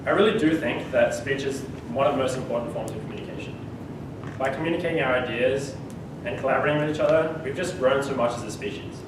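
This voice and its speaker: A teenage boy with an Australian accent gives a joking, chatty speech to his class, now and then laughing through his words. He sounds far from the mic in a very echoey room, with steady background noise and a low rumble. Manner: humorous, conversational, laughs mid-sentence. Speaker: student speaker. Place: school classroom.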